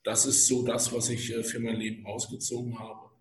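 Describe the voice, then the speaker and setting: A man's voice: medium pitch, monotone, moderate pace. Man in his fifties, echoey room. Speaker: podcast guest, office employee in leasing. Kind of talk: halting spontaneous self-introduction. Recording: roomy, echoing indoor sound.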